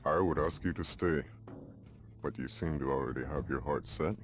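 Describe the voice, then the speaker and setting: Very deep, solemn older uncle. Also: male voice, moderate pace. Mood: proud, solemn. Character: grave, kindly older Lakota uncle. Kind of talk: video game cutscene acting.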